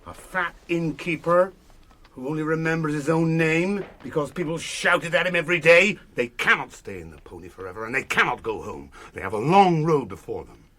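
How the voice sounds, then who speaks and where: Fantasy radio role: stern wandering ranger. Commanding, sardonic, protective, with wide expressive swings in pitch. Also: male voice, brisk, a little hiss or hum. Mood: scornful. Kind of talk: British fantasy radio drama acting.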